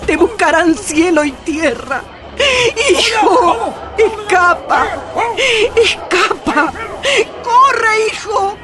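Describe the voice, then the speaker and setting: A woman's voice: very high-pitched, expressive, extremely slow, a loud crowd, full character voice. An actress playing a fearful peasant mother in a radio melodrama, pleading tearfully. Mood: desperate, urgent. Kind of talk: melodramatic radio drama acting.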